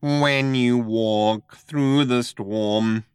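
A relentlessly chipper male spaceship computer, pitched well up and whining through the nose. It is over-friendly and sentimental, in a comic science fiction audiobook, recorded very dry. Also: lively intonation, pushed and bright, dry recording, full character voice. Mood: crooning. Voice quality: nasal, whining. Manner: chirpy, over-friendly, breaks into slow sentimental song. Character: relentlessly cheerful chirpy male shipboard computer, whiny crooner.

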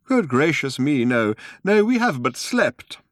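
A man voicing a mild, polite old male planet-builder who talks slowly and wistfully, raised above his own voice, recorded dry and close. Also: fairly high pitch, sing-song, dry recording, pitched above the performer's own voice. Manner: slow and drawn out, mild and courteous.

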